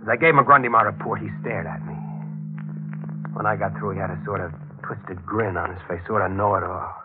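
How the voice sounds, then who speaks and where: A man's voice: on the low side, brisk, phone-quality sound, lightly acted. An actor playing a brooding, obsessive newspaper reporter who narrates his own mystery in intense 1940s radio style. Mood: brooding, tense. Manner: intense, dramatic. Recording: band-limited old 1940s radio, music behind.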